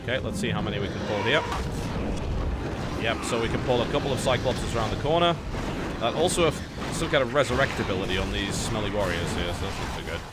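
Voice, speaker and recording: Low-voiced English man commentating gameplay. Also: animated, fast. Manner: analytical, explains tactics while playing, occasional swearing. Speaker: streamer playing a game. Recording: game music playing behind.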